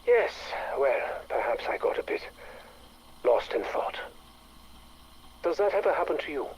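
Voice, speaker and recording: Cynical scientist with shifting intonation. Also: male voice, a little high, animated, a little slow, darkish tone, breathy edge, heavily acted, low-quality recording. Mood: evasive, wry. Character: evasive, cynical male scientist sparring with an AI. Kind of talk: game audio-log drama acting.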